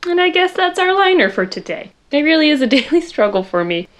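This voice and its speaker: A young woman with a loud voice chatting casually in her bedroom while doing a makeup tutorial, rambling and poking fun at herself. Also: sits high, very animated, brisk, a little hiss or hum. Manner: chatty, rambling, self-deprecating, talks to viewers while working. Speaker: makeup vlogger.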